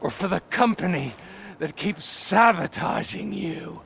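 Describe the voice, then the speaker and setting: An actor playing a scheming, manipulative male villain who sneers and erupts into shouts in a game cutscene. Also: fairly high pitch, expressive, measured pace, creaky, a little hiss or hum, heavily acted. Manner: theatrical, sneering, swings into angry shouts. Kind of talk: video game cutscene acting.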